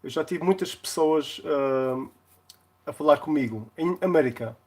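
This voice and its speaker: A man from Portugal, chatting casually on a live stream in a jokey way, often halting to search for words. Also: a little high. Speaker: live streamer, console repair video maker.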